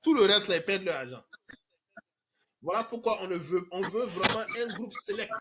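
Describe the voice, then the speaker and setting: A man's voice: sits high, lively intonation, moderate pace, very noisy. A man from Côte d'Ivoire, hosting a live online question-and-answer talk in an emphatic way. He repeats words and drops English fillers. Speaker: trading course host answering questions.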